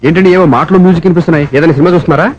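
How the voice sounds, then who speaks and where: Exasperated young romantic lead man, comedy film, highish pitch, rushing delivery. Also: fairly even intonation, a little mellow, natural voice. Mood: coaxing. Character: exasperated lovestruck young man hunting for a girl. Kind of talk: Telugu film comedy acting.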